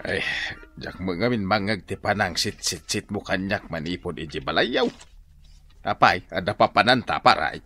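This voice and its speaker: An actor with an Ilocano accent playing a gullible, jittery village farmer husband, hesitant and full of exclamations, in a radio comedy drama. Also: male voice, deep voice, very animated, normal speed, sharp tone, heavily acted. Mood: uneasy.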